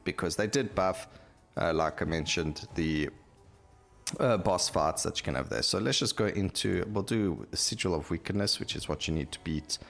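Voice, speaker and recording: A man with a low voice gives casual gameplay commentary over faint music. He hosts in a rambling way, thinking out loud as he plays. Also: normal speed, creaky. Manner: rambling, thinking aloud while playing. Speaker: gameplay video host.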